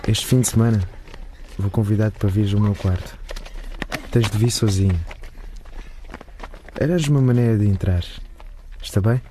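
A man's voice: fairly low pitch, moderate pace, pauses often, dark tone, a little hiss or hum, lightly acted. A man acting a persistent schoolboy suitor in a radio drama, coaxing and insistent. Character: persistent, smooth-talking schoolboy pressuring his sweetheart.

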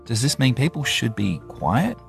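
A man's voice: medium pitch, very animated, moderate pace, vocal fry, soft background music. A man narrating a news report in a scripted way, linking interview clips.

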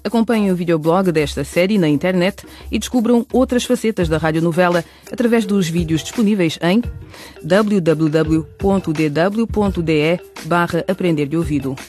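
Guy narrating an educational radio show, high voice, faint music behind. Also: moderate pace, darkish tone. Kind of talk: scripted narration.

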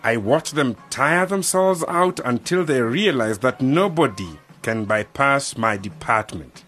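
An actor playing a pompous, self-important government official in a radio drama. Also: male voice, medium pitch, lively intonation, unhurried, fairly bright tone, soft background music. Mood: boastful.

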